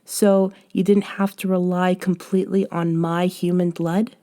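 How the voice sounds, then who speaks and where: Roleplay audio: young female friend played by a woman, patient but a little bossy, soft-spoken, warm dark tone, slow delivery. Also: fairly low pitch, level tone, close to an everyday voice. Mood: patient, teasing. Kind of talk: scripted roleplay audio, one-sided conversation.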